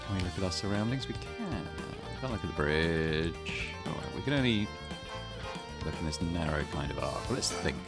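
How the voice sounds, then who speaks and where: A man's voice: low-pitched, lively intonation, normal speed. A man hosting a gaming video, reading game text aloud with casual commentary. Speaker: gaming channel host. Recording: music behind the voice. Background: game music.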